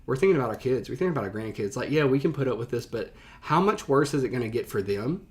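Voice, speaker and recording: A man's voice: mid-range voice, animated, fast talker. A man speaking as a teacher in casual reaction commentary, explaining things conversationally and stressing key words.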